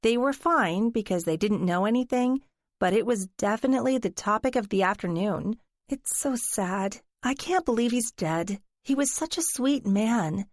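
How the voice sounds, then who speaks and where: Woman doing a soft-spoken young baker girl. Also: on the high side, animated, moderate pace, dry recording, studio quality, close to an everyday voice. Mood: sad.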